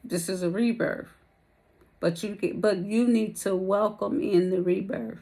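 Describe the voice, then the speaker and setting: A woman's voice: mid-range voice, fairly even intonation, measured pace, warm tone, low-quality recording. A woman whose voice is wearing thin gives a loose, chatty tarot reading. She rambles from card to card in slangy, familiar talk and keeps calling her listeners baby and y'all. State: losing her voice. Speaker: tarot reader. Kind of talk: casual spiritual tarot reading.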